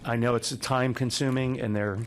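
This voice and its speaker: A man's voice: medium pitch, steady tone, brisk, slight background noise. A man, a commissioner, thanking staff in an appreciative way at a public hearing. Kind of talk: comments at a hearing.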